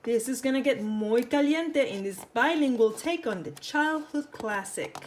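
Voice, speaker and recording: A woman reads a children's picture book aloud with theatrical expression. The voice is high and lively, taken at an unhurried pace, with noticeable noise behind it. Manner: animated, theatrical. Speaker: storyteller.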